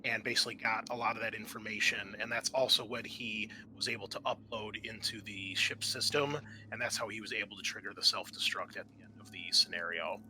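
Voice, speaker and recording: A man's voice: lowish voice, fairly even intonation, a little fast, hardly any pauses, sharp tone, rough recording. A man acting as game master, casually explaining and reviewing a roleplaying session with his players. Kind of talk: casual game debrief, explaining rules and agendas. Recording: faint background noise.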